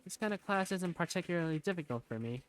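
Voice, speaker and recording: Animated higher-voiced man narrating a story. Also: quick pace. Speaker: amateur voice actor and narrator. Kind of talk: narrating a visual novel fandub and acting its lead.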